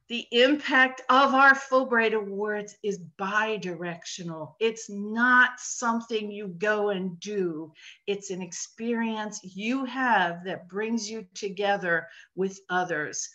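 A woman giving an earnest, measured conference presentation over a video call. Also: mid-range voice, bright, forceful tone, dull audio.

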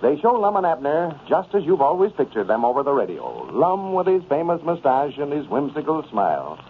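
A man's voice: on the high side, sing-song, fairly quick. A man with an American accent announcing in the scripted advertising style of 1930s radio. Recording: noisy, tinny old radio recording.

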